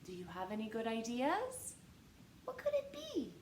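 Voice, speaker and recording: Expressive high-pitched woman reading to children. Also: normal speed. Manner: expressive teacher-like delivery, dramatic gasps and emphasis. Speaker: storytime reader. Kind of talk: animated children's read-aloud with questions and word explanations. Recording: roomy echo with faint background noise.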